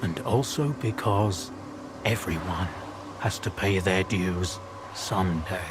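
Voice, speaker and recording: An actor playing a calm, principled wasteland doctor in a video game, speaking in a measured, solemn way. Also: male voice, low voice, background noise. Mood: grave. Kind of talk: dramatic video game dialogue.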